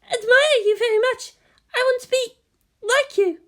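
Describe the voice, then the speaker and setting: A woman's voice: extremely high pitch, normal speed, a bit bright, pitched above the performer's own voice. A woman voicing an eager, shy young female tech assistant in an anime story, breathless and deferential. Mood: adoring. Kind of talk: anime fan fiction character voice.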